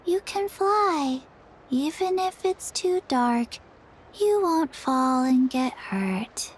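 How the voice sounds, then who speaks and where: A woman voicing a small, forgetful zombie girl in a video game, speaking in a childlike, halting, drowsy deadpan. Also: high-pitched, full character voice. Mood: placid, deadpan. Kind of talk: video game character dialogue.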